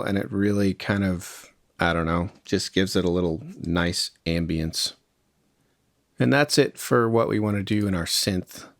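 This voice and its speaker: Low-voiced man giving a casual software tutorial. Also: gravelly, no room sound. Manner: informal, conversational instruction. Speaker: music production tutorial presenter.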